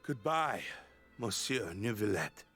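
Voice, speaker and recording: An actor playing an anguished, defiant condemned officer in a video game, with music underneath. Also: male voice, on the low side, big pitch swings, slow, fairly bright tone, husky, heavily acted. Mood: resigned. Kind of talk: acted game dialogue.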